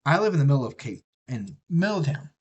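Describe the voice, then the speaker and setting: A man's voice: on the high side, big pitch swings, fast, husky, muffled recording. Folksy, swearing man. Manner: rambling, profane, folksy. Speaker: live streamer. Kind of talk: casual rambling chat while building a kit.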